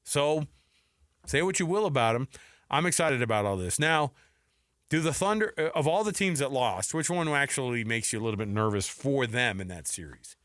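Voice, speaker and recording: An American man co-hosting an unscripted sports talk show, opinionated and animated, often restarting mid-thought and throwing out rhetorical questions. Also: medium pitch, moderate pace, no room sound, studio quality. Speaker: sports radio co-host.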